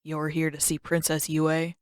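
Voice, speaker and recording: Lady doing a stern guard guy, low voice, curt and suspicious, audiobook style. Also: completely flat delivery, unhurried, smooth, no echo, studio quality. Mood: suspicious, dismissive. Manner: curt, questioning. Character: stern, suspicious male palace guard, officious.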